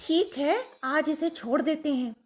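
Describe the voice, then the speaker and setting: A woman's voice: high-pitched, unhurried, a little mellow, soft background music, pitched above the performer's own voice. A woman voicing a plump, bossy squirrel queen in a children's storybook, giving orders. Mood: commanding.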